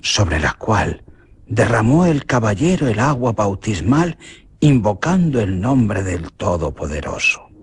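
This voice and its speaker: A man's voice: very animated, a little slow, breathy edge. A man narrating a radio horror drama in a theatrical, dramatic way. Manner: theatrical, with big swings in pitch. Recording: noisy radio drama recording.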